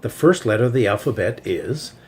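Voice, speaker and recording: A man's voice: medium pitch, lively intonation, a little fast, natural voice. A man voicing a bossy, bragging young female bear cub with a scolding manner.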